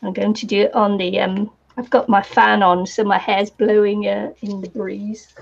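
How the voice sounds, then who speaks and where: A woman with a New Zealand accent chatting casually on a live craft stream, her voice croaky today, rambling with lots of ums and little laughs. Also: medium pitch, level tone, normal speed. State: croaky voice from the heat. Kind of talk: casual chat while crafting. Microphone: computer or camera mic with a fan nearby. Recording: slightly dull lo-fi sound.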